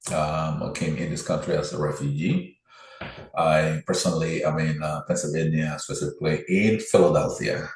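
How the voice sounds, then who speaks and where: A man's voice: a bass voice, steady tone, moderate pace. A man from Liberia, a political candidate laying out his plans in an earnest, methodical interview. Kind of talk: interview answers, campaign pitch. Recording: very echoey room.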